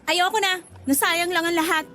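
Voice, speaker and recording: A woman acting a high-pitched, jealous cassava vendor. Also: fairly even intonation, moderate pace, pushed and bright, faint music behind. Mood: jealous, huffy. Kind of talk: Tagalog radio drama acting.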